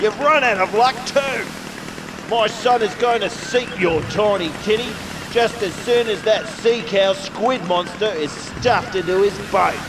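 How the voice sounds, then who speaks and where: A woman's voice: mid-range voice, measured pace, background noise, natural voice. A woman playing a gloating, greedy villainous general manager in a melodramatic radio play, boastful and scheming. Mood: triumphant.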